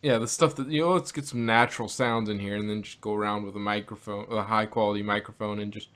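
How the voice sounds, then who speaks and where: A man's voice: lowish voice, fairly even intonation, fast talker, a bit bright. A man hosting a casual podcast discussion, rambling as he thinks aloud. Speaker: podcast host reviewing audio dramas.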